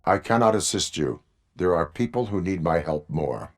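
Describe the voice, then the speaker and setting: An actor playing a stoic, unyielding cyborg policeman in a video game, with clipped, deadpan, robotic delivery. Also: low voice, normal speed. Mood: stern deadpan. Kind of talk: video game cutscene acting.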